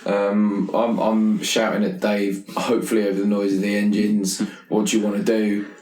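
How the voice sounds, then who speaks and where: A man's voice: lowish voice, flat delivery, moderate pace, a little mellow. A man speaking casually as a player in a tabletop roleplaying game, slipping into character as he talks. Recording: roomy, echoey sound.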